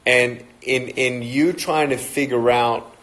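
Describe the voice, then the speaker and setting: A man's voice: medium pitch, fairly even intonation, slow pace, fairly bright tone. A man in his thirties with an Australian accent, chatting casually and joking as he greets viewers on a livestream talk. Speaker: motivational speaker.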